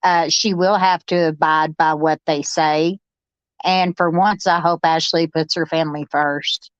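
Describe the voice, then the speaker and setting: A woman's voice: low voice, fairly even intonation, a little slow, no echo, dull audio. A woman hosting a casual discussion show, talking informally and reading out comments.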